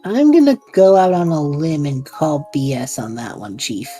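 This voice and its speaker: Low, mellow, bewildered young man played by a woman. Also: animated, moderate pace, faint music behind. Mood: concerned. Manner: hesitant, casual, wry. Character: bewildered, supportive young man lost in another world. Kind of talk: audio drama acting.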